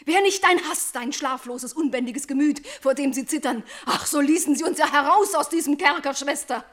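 An actress playing a fearful, trapped young princess who longs for life, pleading breathlessly in a classical radio tragedy. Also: female voice, high voice, normal speed, natural voice. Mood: desperate pleading. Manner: pleading, breathless, fearful, rising into desperate outcry. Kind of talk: classical German stage-tragedy radio acting.

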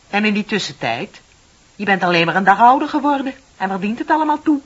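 An actress playing a bitter, lonely middle-aged office worker in a radio play, confiding and sardonic with dramatic emphasis. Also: female voice, medium pitch, breathy edge, lightly acted. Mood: exasperated, fed up. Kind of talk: Dutch radio play acting. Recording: dull, hissy old radio recording.

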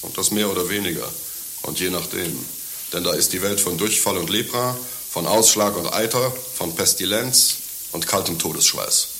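An actor playing a morose, cynical pessimist in a German radio play, lecturing with pompous, sardonic disgust. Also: male voice, low voice, moderate pace, bright, forceful tone, slight background noise. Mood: bitter, scornful.

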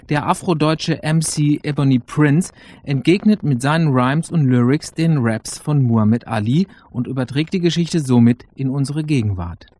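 A man's voice: medium pitch, a little mellow. A man reading scripted radio narration as the presenter introducing a radio play.

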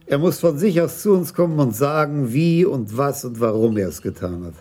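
An actor playing a patient, insistent police commissioner in a radio play, speaking in a measured, persuasive way. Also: male voice, medium pitch, lively intonation, a little mellow, natural voice. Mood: persuasive, firm.

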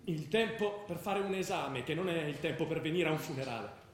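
Man acting a troubled young student, high-pitched, roomy sound. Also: brisk, a little hiss or hum, close to an everyday voice. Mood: bitter, agitated. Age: young adult. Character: Davide, troubled restless young male student. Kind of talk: stage monologue and dialogue in radio theatre. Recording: roomy theatre sound with music behind. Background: music.

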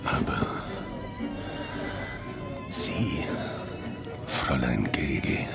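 An actor playing an amorous, self-pitying middle-aged boss in a radio drama, speaking in a slow, halting, sentimental way. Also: male voice, a bass voice, wildly swooping pitch, gravelly, exaggerated voice. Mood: sentimental, wooing. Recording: music under the voice.

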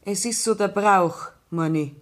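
An actress playing a calm, firm Bavarian farm widow with a Bavarian accent in a radio play. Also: female voice, mid-range voice, a little slow, lightly acted. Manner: calm, firm, plain-spoken. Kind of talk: 1960s radio play acting.

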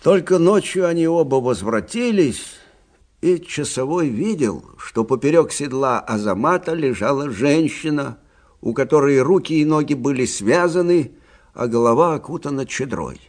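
An actor playing a plainspoken, rueful older army officer who recounts frontier tales conversationally in a radio play. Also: male voice, fairly high pitch, moderate pace. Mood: storytelling. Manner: conversational, expressive, folksy. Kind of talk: dramatic storytelling in a radio play.

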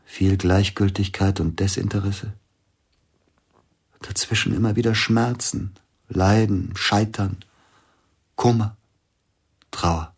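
Radio drama casting: an older grieving pianist, very deep, husky, brooding, hushed. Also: male voice, heavily acted. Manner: brooding, drops to hushed near-whispers. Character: grieving older male pianist, bitter, suspicious. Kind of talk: radio play acting.

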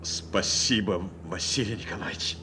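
An actor playing a devoted military aircraft navigator in an audio drama, giving clipped commands and reassurances. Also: male voice, deep voice, unhurried. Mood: concerned, respectful. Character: military aircraft navigator guiding his wounded commander to land, urgent and devoted. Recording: loud engine noise behind the voice.

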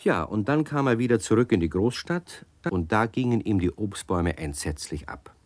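A deep male narrator reads a children's radio story and speaks straight to the kids as he goes. His voice sits low and close in a dry studio. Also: animated, moderate pace, no room sound. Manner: warm, addresses the children directly.

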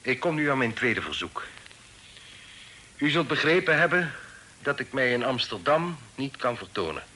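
An actor playing a proud, quick-tempered middle-aged fugitive father in a period radio play, speaking formally and insistently. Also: mid-range voice, big pitch swings, pushed and bright. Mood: grave, persuasive. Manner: formal, insistent, eloquent old-fashioned speech. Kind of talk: period radio drama acting. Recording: hissy old radio play recording.

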